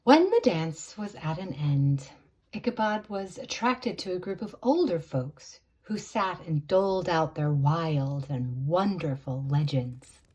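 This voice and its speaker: A woman narrating a storybook tale for a puppet show in a theatrical, expressive way, savoring the words. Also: low-pitched, unhurried, breathy edge, poor audio.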